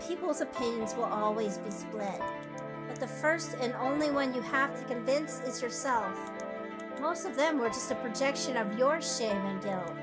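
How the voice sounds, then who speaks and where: A wise female guide played by a woman in a visual novel, speaking in a fairly high voice. She is measured and firm, consoling but blunt, with music clearly behind her. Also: close to an everyday voice. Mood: firm, reassuring. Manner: measured, counselling. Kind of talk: dramatic visual novel reading.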